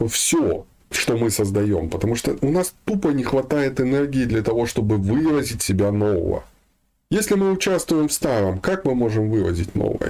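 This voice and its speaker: A man speaks in a mid-pitched voice with very lively, swinging intonation. He gives a spiritual talk in an informal, colloquial way, dropping in slang and turning straight to his listeners.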